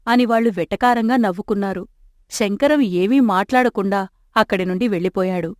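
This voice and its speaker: A woman's voice: medium pitch, brisk, no echo, clean studio sound. Woman narrating a moral story.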